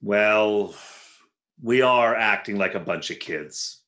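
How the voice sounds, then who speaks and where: A man's voice: fairly low pitch, slow, a bit bright. A man playing a jealous, exasperated husband in a 1940s-style radio comedy, sarcastic and huffy. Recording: roomy, echoing recording.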